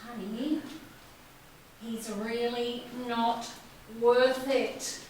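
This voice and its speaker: A woman acting in a stage play as a partner pleading for calm, hushed, slow and coaxing. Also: on the high side. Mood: soothing, pleading. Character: pleading woman calming her enraged partner. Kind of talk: amateur one-act stage play acting. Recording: echoey room from far off, noisy.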